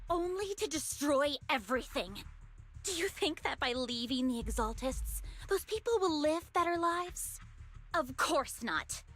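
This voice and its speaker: Casting: young villainess for anime game, high voice, zealot devoted to her leader, haughty, taunting, music underneath. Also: fairly bright tone, slightly rough, full character voice. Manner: haughty, taunting, theatrical delivery. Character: fanatical young female cult villain devoted to her Father. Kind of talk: dramatic anime video game voice acting. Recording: music behind the voice.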